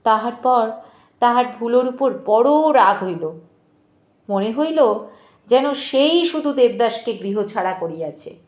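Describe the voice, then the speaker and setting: A woman narrating a literary novel in a measured, expressive way with deliberate pauses. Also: on the high side. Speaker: audiobook narrator. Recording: echoey voice with music behind. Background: music.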